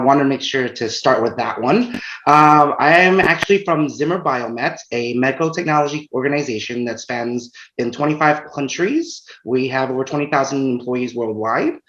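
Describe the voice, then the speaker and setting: A man introducing himself as a panelist on a video call, brisk and friendly. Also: mid-range voice, a bit bright, echoey room, lo-fi sound. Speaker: panelist, diversity head.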